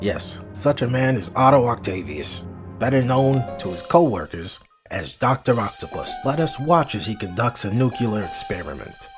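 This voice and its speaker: Male comic book narrator: dramatic, portentous scripted read, lowish pitch, clear music bed. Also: big pitch swings, normal speed. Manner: theatrical, portentous.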